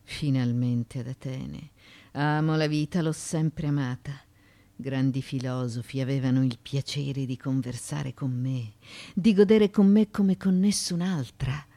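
A proud, defiant courtesan of ancient Athens in a radio play. Her delivery is markedly slow and deliberate. She declaims her monologue theatrically. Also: a bass voice, dark tone. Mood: proud, world-weary. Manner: deliberate, declamatory, with sudden outbursts.

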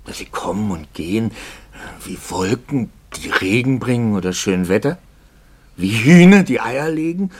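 Tormented priest in a radio play, deep husky voice, intense and halting. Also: male voice, full character voice. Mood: impassioned, reproachful. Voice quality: husky, gravelly, often breaking into whisper. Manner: slow, halting, intense, rhetorical questioning. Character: troubled male priest, brooding, doubting, tormented by hope. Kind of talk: serious German radio drama acting.

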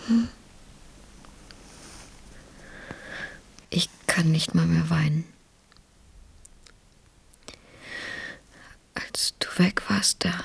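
A hurt, weary young wife in a naturalistic radio drama. Her voice is lowish and very breathy, with a creak in it. She speaks in halting, intimate murmurs. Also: heavily acted. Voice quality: husky, whispery.

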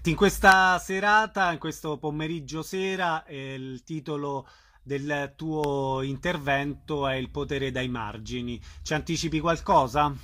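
A man's voice: fairly high pitch, fairly even intonation, unhurried, fairly bright tone. A man working as a radio interviewer, putting questions in a conversational way.

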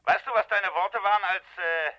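A sneering gangster boss on the phone. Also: male voice, high-pitched, moderate pace, lo-fi sound. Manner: sneering, mocking. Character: menacing American gangster boss, middle-aged man. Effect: telephone filter. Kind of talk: 1950s radio crime play.

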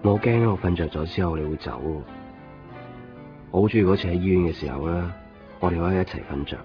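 A devoted, gently teasing young Hong Kong man from a radio drama, played by an actor. His voice is very low and he talks in a quiet, intimate way. The old recording is somewhat muffled, with faint music underneath. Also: quick pace, lightly acted. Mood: tender. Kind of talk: Cantonese radio drama acting, low-key and natural. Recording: dull lo-fi 1990s radio recording.

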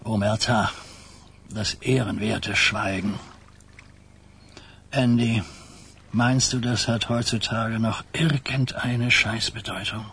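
An actor playing an older Mafia boss in a radio drama, reflective and quietly commanding, with a rough voice and deliberate questions. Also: male voice, on the low side, steady tone, full character voice. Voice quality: husky, rough.

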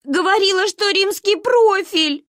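Woman as a timid, protesting young girl, high-voiced. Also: lively intonation, moderate pace, fairly bright tone, no room sound, studio quality. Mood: tearful. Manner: worried, protesting. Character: timid, easily hurt young girl, the story's narrator as child.

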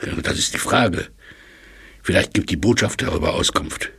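A man playing a learned, absorbed professor in a German audio drama, musing and explaining with thoughtful pauses. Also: low voice, lively intonation, gravelly, a bit bright, slightly rough, heavily acted. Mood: lecturing. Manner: musing, lecturing, hesitant pauses. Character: elderly learned male language professor, absorbed and astonished.

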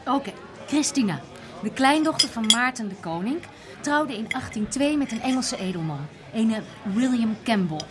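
A woman's voice: a little high, animated, normal speed, very noisy, natural voice. An actress playing an easygoing young woman in a naturalistic Dutch radio drama, speaking casually with small hesitations. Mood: matter-of-fact. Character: easygoing young woman, the man's supportive partner.

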